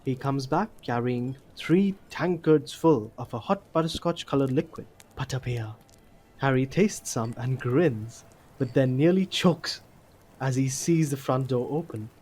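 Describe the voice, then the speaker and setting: A man's voice: medium pitch, a little mellow. A man narrating a scripted story for an audio drama. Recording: faint background noise.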